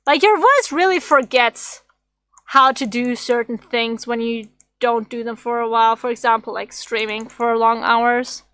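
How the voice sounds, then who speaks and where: A woman streamer with a slightly raspy voice, rambling and thinking aloud as she draws on a casual livestream. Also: a little high, unhurried, fairly bright tone, no room sound. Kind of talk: casual drawing livestream chat.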